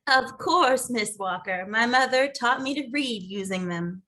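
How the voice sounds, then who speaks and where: A woman playing an earnest, anxious orphaned young woman in an animated comedy, with emotional delivery. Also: a little high, a little slow. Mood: accepting. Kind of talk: animated comedy table read.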